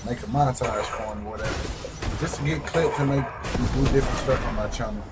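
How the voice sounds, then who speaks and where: A hoarse young man giving rambling, slangy, casual commentary over his gameplay, full of fillers and restarts. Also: medium pitch, brisk. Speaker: gaming YouTuber. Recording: loud background noise.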